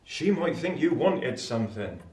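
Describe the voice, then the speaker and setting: An actor playing a simple, kindly English village blacksmith in a period radio drama, with a homely, hesitant way of talking. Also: male voice, medium pitch, sing-song, a little slow. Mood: gently cautious. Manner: homely, roundabout, gentle phrasing. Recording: roomy, echoey sound.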